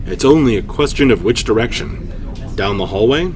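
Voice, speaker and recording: A man's voice: mid-range voice, animated, normal speed, lightly acted. A man voicing a grave, wary male adventurer in a fantasy storybook, subdued and serious. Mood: urgent.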